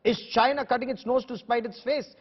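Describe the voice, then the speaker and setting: High-pitched, husky Indian news debate host, male. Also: fairly even intonation, a little fast. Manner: confrontational, emphatic, rhetorical questioning. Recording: dull lo-fi broadcast audio.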